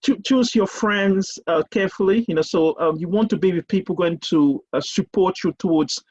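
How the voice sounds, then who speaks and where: A man from Cameroon talks on an online panel in a fairly high, breathy voice, stumbling over repeated words and fillers as he gives advice. His audio comes through a muffled, lo-fi video call. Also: normal speed, darkish tone. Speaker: university lecturer guest. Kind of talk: casual panel discussion.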